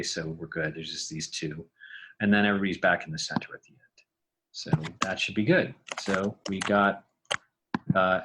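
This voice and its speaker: A man with a low voice talks through an editing task as a sound designer. He is informal and thinks aloud, with clear background noise and clicking. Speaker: podcast creator and sound designer. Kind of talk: casual software tutorial narration.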